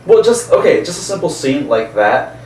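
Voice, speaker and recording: A man's voice: a little high, very animated, moderate pace, a little mellow. A man co-hosting a casual chat, rambling a little and searching for words as he explains his opinion. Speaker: podcast co-host. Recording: roomy, distant mic, background noise.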